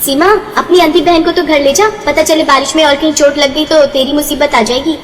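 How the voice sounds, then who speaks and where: A woman's voice: high voice, steady tone, brisk, in a large hall, voice pushed up, natural voice. A woman voicing a mean, scornful village girl, harsh and scolding, in a moral story.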